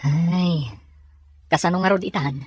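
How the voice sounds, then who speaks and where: A man acting a concerned friend giving frank advice in a radio drama, with a husky voice and a Filipino accent. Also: a little high, moderate pace, dry recording.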